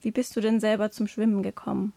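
A woman interviewing a guest, asking brief, calm questions. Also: medium pitch, quick pace, gravelly, no room sound.

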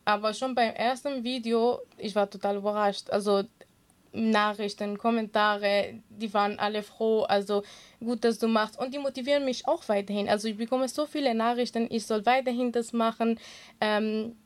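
Earnest woman, Kurdish native, higher voice. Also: steady tone, moderate pace. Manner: earnest, hesitant with many fillers. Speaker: interview guest, swimming instructor. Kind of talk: casual interview answers.

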